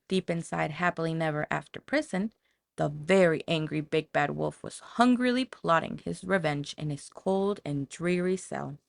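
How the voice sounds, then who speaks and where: A woman reads a children's story with dramatic expression. Her voice sits on the low side with plenty of creak, and the recording is close and dry. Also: no echo. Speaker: audiobook narrator. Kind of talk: scripted children's story narration.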